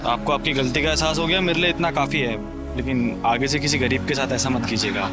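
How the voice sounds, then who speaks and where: A man's voice: medium pitch, fairly quick, over music, close to an everyday voice. A man playing a poor, long-suffering vegetable seller who speaks politely but pointedly and moralizes in a short drama. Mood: forgiving. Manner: polite address, sarcastic, moralizing.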